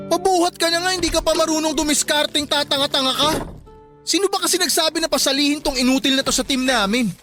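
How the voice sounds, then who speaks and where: Woman doing a snappy rude gamer boyfriend, high bright voice, sarcastic and bossy, radio drama. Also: fast talker, soft background music. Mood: irritated, insulting. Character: rude, hot-tempered young boyfriend who games. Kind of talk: Tagalog radio drama acting.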